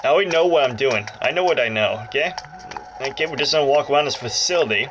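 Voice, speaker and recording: A man's voice: medium pitch, expressive, fast talker, background noise. A man giving casual, rambling let's play commentary, jokey and often interrupting himself. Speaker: gaming video host. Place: indoors, about a foot from the handheld console. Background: game music from the console speaker.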